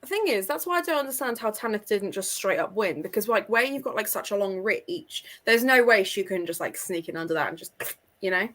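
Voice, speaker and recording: A woman's voice: mid-range voice, lively intonation, brisk, a bit bright. A woman in a casual book discussion, talking informally as one of a panel. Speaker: readalong panelist.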